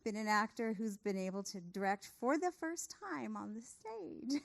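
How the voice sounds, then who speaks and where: A woman's voice: on the high side, unhurried, creaky. A woman, a theater producer giving a speech of thanks to a cast and crew.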